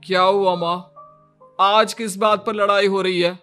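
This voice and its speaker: A man's voice: high-pitched, level tone, a little slow, pushed and bright, clear, resonant tone, soft background music. A man playing an exasperated, put-upon young Indian husband and son in a cartoon story, with a weary, complaining manner. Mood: questioning.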